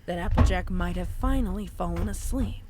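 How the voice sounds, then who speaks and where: A woman's voice: lowish voice, moderate pace, heavy background noise. A woman narrating horror fiction in a scripted, dramatic storytelling style.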